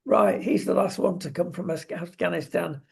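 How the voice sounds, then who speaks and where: A talk show presenter with a gravelly, husky voice, giving wry, opinionated takes in conversational talk about current affairs. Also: mid-range voice, fairly even intonation, moderate pace, a little mellow.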